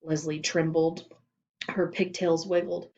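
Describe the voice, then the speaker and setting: A woman reading children's fiction aloud as expressive scripted narration. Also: low-pitched, slow pace, dull audio. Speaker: storybook reader.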